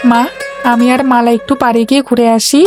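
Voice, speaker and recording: A woman's voice: a little high, little pitch movement, fast, over music, lightly acted. A woman playing an eager youngster from a boat-dwelling family in a cartoon. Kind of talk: cartoon dialogue acting.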